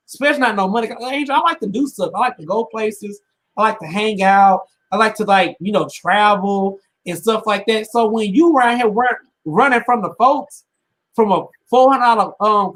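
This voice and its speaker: A woman's voice: on the high side, a bit bright. A woman in her twenties, a podcast co-host, giving blunt, emphatic opinions in slangy casual chat. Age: 20s.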